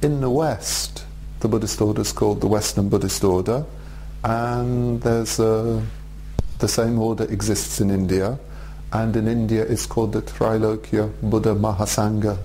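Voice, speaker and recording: Low, flat-voiced English man in his forties. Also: a little hiss or hum, rough recording. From Russia, raised in England. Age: 40s. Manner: measured, thoughtful, many hesitations. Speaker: Buddhist teacher. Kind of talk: direct-to-camera video address.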